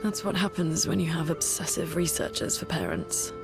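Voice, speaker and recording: Composed young woman researcher, lowish, wry, matter-of-fact, game drama, prominent music. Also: very animated, normal speed, full character voice. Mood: dry, wry. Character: composed, dry-witted young woman scholar. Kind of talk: video game cutscene acting. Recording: studio voice under clear background music.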